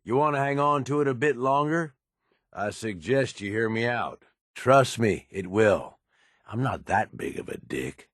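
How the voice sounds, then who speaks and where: An actor playing a blunt, pushy, cunning raider schemer in a video game, with a streetwise American manner of speech. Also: male voice, mid-range voice, animated, a little slow, a bit bright, no room sound, clean studio sound. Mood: wry, pushy. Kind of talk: video game character dialogue.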